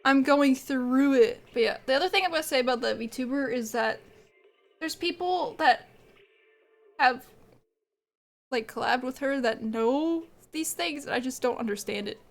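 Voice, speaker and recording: A woman's voice: high-pitched, normal speed, creaky, faint music behind. A woman streamer chatting casually with her viewers, stuffed up from a cold and allergies, rambling and laughing often. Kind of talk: casual livestream chat with viewers.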